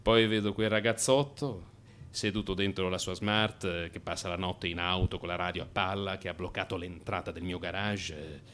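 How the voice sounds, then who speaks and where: A man's voice: deep voice, fairly even intonation, moderate pace, a bit bright, slight background noise. An actor playing an agitated, frustrated man confessing to his analyst in a theatrical radio monologue, moving between muttering and outbursts. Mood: brooding.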